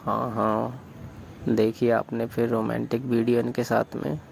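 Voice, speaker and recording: A man's voice: medium pitch, level tone, a little slow, vocal fry. A man on a phone call who coaxes and prods with questions. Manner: coaxing, prodding questions. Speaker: caller. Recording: phone call recording with loud hiss.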